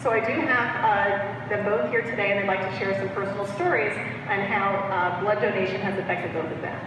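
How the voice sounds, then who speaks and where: A woman speaks quickly at an average pitch, like a teacher presenting her students. Her voice carries from a distance through a very echoey hall with noise behind it. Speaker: medical assisting teacher. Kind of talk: informal public introduction.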